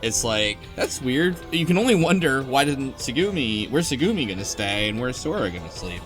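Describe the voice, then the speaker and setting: A man hosting a casual podcast discussion of a game story, talking in an animated, argumentative way. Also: mid-range voice, normal speed. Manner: animated, argumentative, quotes characters while explaining. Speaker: podcast host. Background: music behind the voice.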